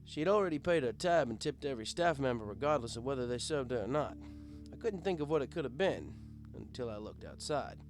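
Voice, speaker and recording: A man's voice: medium pitch, lively intonation, brisk, hardly any pauses. A man narrating a first-person story as a bartender, conversational and wry. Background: guitar music.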